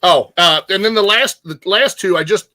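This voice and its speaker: A man co-hosting a casual chat show, speaking informally in a halting but emphatic way. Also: high voice, pushed and bright. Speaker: show co-host.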